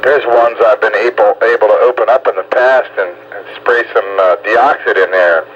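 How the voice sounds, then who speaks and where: Male, casual radio talk, muffled sound with clear static noise. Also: on the low side, steady tone, normal speed. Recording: dull radio transmission with static.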